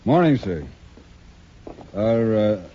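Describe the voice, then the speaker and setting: An actor playing a crafty trail driver, the older of two brothers, with folksy politeness in a 1950s radio western. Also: male voice, on the low side, lively intonation, slow pace, background noise. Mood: polite, folksy. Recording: muffled 1950s radio recording.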